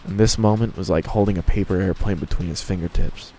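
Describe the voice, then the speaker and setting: A man's voice: deep voice, quick pace, mellow, slight background noise, low-quality recording. A man reading scripted fan fiction narration as the storyteller.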